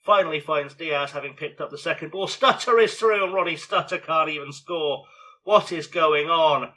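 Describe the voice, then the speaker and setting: A man with a scratchy, hoarse voice giving chatty, self-mocking play-by-play commentary on a football management game. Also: fairly high pitch, moderate pace, sharp tone. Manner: chatty, self-mocking, narrates match action. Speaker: video game streamer.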